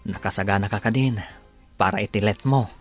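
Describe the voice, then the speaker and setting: A man playing a warm, supportive young husband in an Ilocano radio drama, speaking with an Ilocano accent. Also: fairly low pitch, a little fast, music in the background, close to an everyday voice. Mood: reassuring, forgiving.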